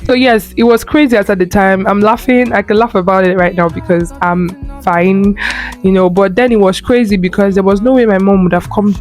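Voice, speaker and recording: A young woman in her early twenties with a Nigerian Igbo accent, whose first language is Igbo, talks warmly and earnestly about her own past. She chats in a loose, personal way, full of fillers and self-corrections. Soft music plays faintly behind her. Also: medium pitch, steady tone, a little fast. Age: early 20s. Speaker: lifestyle creator. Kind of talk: personal storytelling to camera.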